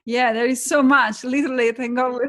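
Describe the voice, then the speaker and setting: A woman's voice: a little high, steady tone, brisk, fairly bright tone. A young adult woman from Italy with an Italian accent, a guest coach telling her story reflectively in a casual podcast interview. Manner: reflective, storytelling, uses fillers like "um" and "like".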